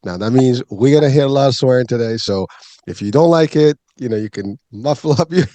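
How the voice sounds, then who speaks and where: A man's voice: medium pitch, a little fast, dark tone, no echo. A man hosting a wellness interview show, speaking in rambling, hesitant runs with many fillers. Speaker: podcast host.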